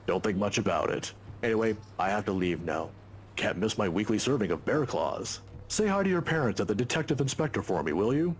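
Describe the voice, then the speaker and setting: A man's voice: lowish voice, animated, a little fast, slight background noise, lightly acted. A man voicing a kindly, teasing older professor in a visual novel, with an avuncular, politely chatty manner. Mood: guarded, fatherly.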